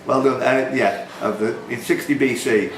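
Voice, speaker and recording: A man's voice: mid-range voice, normal speed. A man hosting a live pub quiz, reading questions and scores with bantering, self-deprecating, hesitant asides. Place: pub function room, indoors. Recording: roomy pub sound with background noise.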